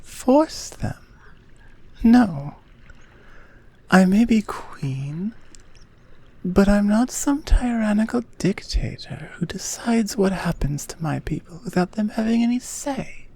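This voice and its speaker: Breathy, slow-spoken Amazonian queen, acted by a woman. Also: mid-range voice, lively intonation, heavily acted. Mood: firm, principled. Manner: soft, breathy, measured, half-whispered delivery. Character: gentle, earnest Amazonian queen courting a guest. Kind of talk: roleplay ASMR, intimate second-person audio drama.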